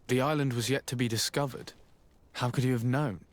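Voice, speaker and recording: A man's voice: medium pitch, fairly even intonation, fairly quick, natural voice. Composed young noble diplomat, acted by a man. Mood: courteous, composed. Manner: polite, formal, articulate.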